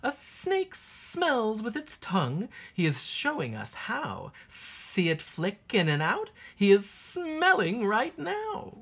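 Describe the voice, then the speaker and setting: Female storybook reader: unhurried, sing-song teacher delivery, strongly animated intonation, breathy husky tone. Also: mid-range voice. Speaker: teacher reading a children's book aloud. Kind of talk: expressive picture-book read-aloud for young children.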